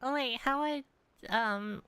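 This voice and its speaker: Bright-voiced woman narrating her gameplay. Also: high-pitched, slow, slight background noise. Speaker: gamer, streamer. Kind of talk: casual gameplay commentary.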